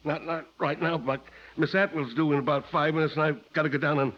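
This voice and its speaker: An actor playing a pushy, folksy small-town sheriff in a 1940s radio crime drama. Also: male voice, fairly high pitch, fairly even intonation, brisk, heavy background noise, close to an everyday voice. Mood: confident. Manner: folksy, bullying, insistent. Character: gruff small-town sheriff, male, pushy and sure of himself. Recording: muffled old-time radio recording.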